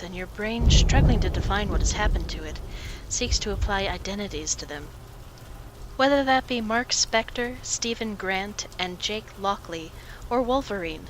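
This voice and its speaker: A woman's voice: medium pitch, noisy background, lightly acted. A woman voicing a cool, clinical psychiatrist. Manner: soft, precise, lecturing. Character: cool, clinical female psychiatrist, quietly amused. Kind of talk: amateur motion comic voice acting.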